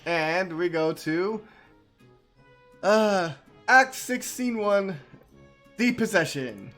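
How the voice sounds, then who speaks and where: A man's voice: high-pitched, animated, drawn-out words, a bit bright, soft background music. A man giving casual reaction commentary as a game streamer, with jokey muttered asides and repeated words.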